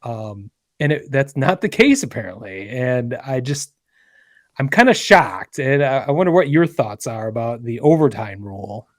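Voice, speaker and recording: A man in his forties hosting a podcast, talking in an earnest, halting way with many restarts. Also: medium pitch, expressive, no room sound, studio quality. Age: 40s. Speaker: podcast host. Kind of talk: casual podcast chat.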